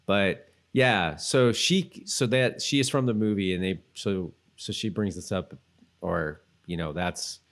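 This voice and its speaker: Male podcast co-host, lowish voice, casual and rambling, feels his way through explanations. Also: measured pace. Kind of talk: casual rewatch chat.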